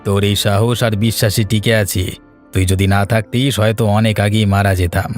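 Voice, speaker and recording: Cartoon outlaw in hiding, acted by a man: low-pitched, monotone, grave and wary, music underneath. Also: fairly quick, lightly acted. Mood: grateful, cautious. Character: wary male fugitive ex-bandit hiding out, called uncle. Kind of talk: cartoon fairy-tale dialogue.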